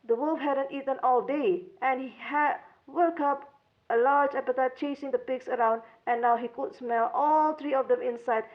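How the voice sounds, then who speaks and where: Lady reading a kids fairy tale, high voice, kinda muffled lo-fi audio. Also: fairly even intonation, moderate pace. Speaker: storyteller. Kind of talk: children's storybook narration. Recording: dull lo-fi sound.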